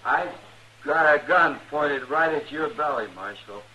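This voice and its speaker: An actor playing a slow-witted, sullen, menacing backwoods son with simple, drawling speech in an old-time radio western. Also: male voice, a little high, pushed and bright, breathy edge, heavily acted. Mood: quietly menacing. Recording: noisy old radio recording.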